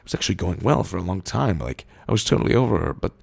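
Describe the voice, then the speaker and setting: Male reader for comic monologues: husky, very creaky, deadpan delivery with drawn-out pauses, recorded dry. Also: sits low, dry recording. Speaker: reader of internet copypasta posts. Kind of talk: deadpan comedic reading of written posts.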